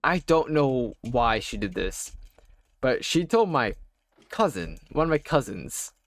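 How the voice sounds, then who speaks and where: A young adult man telling a personal story while playing a game, halting and wry, full of fillers and restarts. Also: mid-range voice, very animated, no room sound. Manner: wry, self-deprecating. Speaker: gaming YouTuber. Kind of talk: casual storytelling over gameplay.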